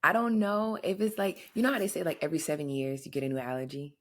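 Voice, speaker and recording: A woman in her thirties co-hosting a casual podcast from her home, chatty and animated, acting out little quotes as she tells stories. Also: low-pitched, fast. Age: 30s. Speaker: podcast co-host. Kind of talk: casual chat. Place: her home, in front of a flower wall.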